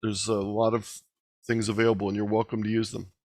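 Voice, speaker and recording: A man's voice: fairly low pitch, flat delivery, a little fast, a little mellow, no room sound. A man speaking informally as the presenter and moderator of an online meeting.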